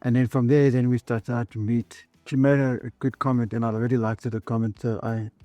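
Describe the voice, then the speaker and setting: A South African man in his thirties with a South African accent, telling his story in an easygoing, halting way in a documentary interview. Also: medium pitch, little pitch movement, mellow, no room sound, faint music behind.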